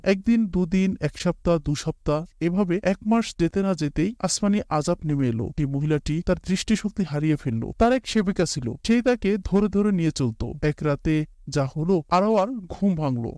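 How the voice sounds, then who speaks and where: Man playing a high-voiced, earnest cartoon father. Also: warm tone, no room sound, clean studio sound, natural voice. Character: grown father figure, earnest, admonishing, pious moral storyteller. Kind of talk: scripted cartoon dialogue and moral storytelling.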